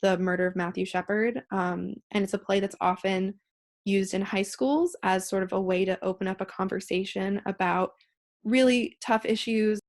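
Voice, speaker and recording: A young American woman speaks at a medium pitch. She answers as an interview guest, explaining her work in a conversational way. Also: steady tone, moderate pace. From Pittsburgh, United States. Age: 20s.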